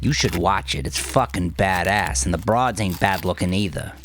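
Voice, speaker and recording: A man's voice: low-pitched, moderate pace, noisy background, pitched above the performer's own voice, lightly acted. A man voicing a cocky, sadistic male gangster in an audiobook, wisecracking and profane with theatrical swagger. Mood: playful swagger. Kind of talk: audiobook character dialogue.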